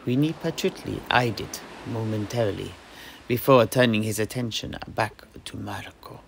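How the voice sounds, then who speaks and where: Creaky-voiced male fantasy storyteller. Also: fairly low pitch, moderate pace, fairly bright tone, slight background noise, rough recording. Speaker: host, storyteller. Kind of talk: in-character fantasy audio play storytelling.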